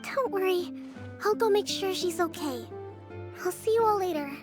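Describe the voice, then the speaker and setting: A woman playing a gentle, caring girlish companion with a polite, reassuring manner in a video game. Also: extremely high pitch, lively intonation, moderate pace. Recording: music behind the voice.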